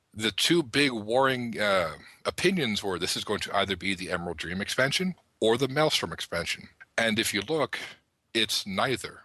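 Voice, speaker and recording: A man's voice: mid-range voice, sharp tone, no room sound. A man talking casually and explaining things articulately, as a panelist on a gaming podcast.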